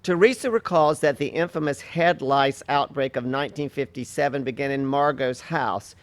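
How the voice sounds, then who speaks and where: A narrator reads stage directions in a matter-of-fact way. The voice is medium in pitch, with a dry sound free of room echo. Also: normal speed. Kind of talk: scripted narration.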